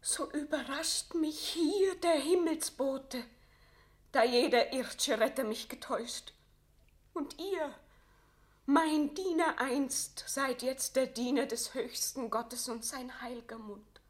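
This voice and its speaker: A woman's voice: sits high, takes it slowly. An actress playing a proud, remorseful queen resigned to death, speaking classical verse in a solemn, fervent radio drama style. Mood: moved, exalted.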